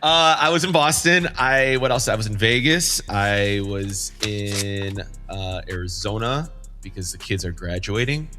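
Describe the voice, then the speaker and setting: A man's voice: fairly low pitch, measured pace, over music. A man hosting a casual chat among fellow DJs, asking questions in a slangy, conversational way. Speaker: podcast host and DJ.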